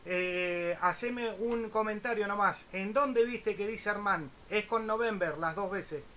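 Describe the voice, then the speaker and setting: High-pitched Argentine man on ham radio. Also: a little slow, pushed and bright. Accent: Buenos Aires Argentine Spanish. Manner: spells call signs in phonetic alphabet. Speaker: amateur radio operator, channel host. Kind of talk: casual ham radio contact. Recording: faint noise behind voice.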